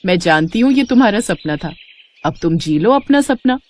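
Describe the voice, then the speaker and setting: Woman voicing a kindly, lively young fairy. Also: medium pitch, moderate pace, lightly acted. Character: generous young female fairy, confident and kindly.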